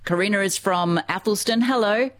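A live radio host chatting with guests and callers, bubbly and quick to laugh. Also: mid-range voice, fairly bright tone.

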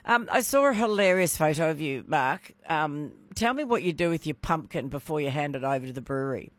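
A woman's voice: sits low, expressive, brisk, no room sound. A woman hosting a talkback radio show, bubbly and playful, laughing often. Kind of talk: casual radio chat.

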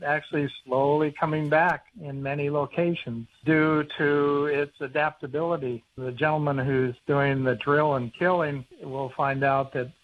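A man's voice: fairly high pitch, fairly even intonation, a little slow, hardly any pauses, no echo. A man with an American accent explaining things conversationally as a radio interview guest, over a phone line. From United States. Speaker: author and conservation biologist, interview guest. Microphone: telephone.

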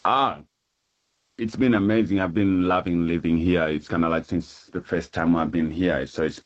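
A man, interviewed on radio as a musician. His voice is very deep, and he talks in a hesitant, friendly way with some stumbles. The sound is slightly dull and lo-fi. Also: fairly even intonation, normal speed. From Tanzania. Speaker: musician, guest.

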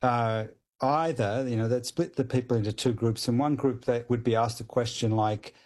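A man's voice: mid-range voice, moderate pace, darkish tone. Man explaining research, hedging often. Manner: explains at length with hedges like kind of and you know. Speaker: guest expert on language. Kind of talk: explanatory radio interview.

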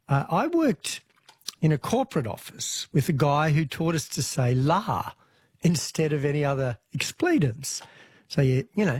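A man hosts a talkback radio show, his husky voice rising and falling in a lively way. He reacts to callers with interest and reads out listener messages. The studio sound is close and dry. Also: a little high, no echo. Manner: friendly, interested, brief affirmations. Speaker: radio host. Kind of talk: radio call-in chat. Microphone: studio mic.

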